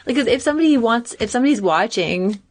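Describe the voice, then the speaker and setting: A woman co-hosting a podcast, searching for words and talking in halting, filler-heavy phrases. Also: fairly high pitch, expressive. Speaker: podcast co-host. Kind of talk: casual chat.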